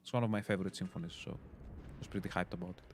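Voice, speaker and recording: A man's voice: deep voice, fairly even intonation, racing, heavy background noise. A Greek man in his twenties, chatting casually and hesitantly as a community stream host while playing. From Greece. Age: 20s. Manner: rambling, filler-heavy, hesitant. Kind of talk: casual chat while gaming.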